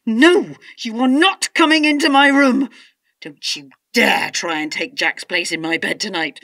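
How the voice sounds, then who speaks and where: An actress playing a distressed, suspicious wife in a radio drama, agitated and accusing, often dropping to a hushed mutter. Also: female voice, on the high side, a little slow, no room sound. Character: distressed, suspicious wife convinced her husband is an impostor.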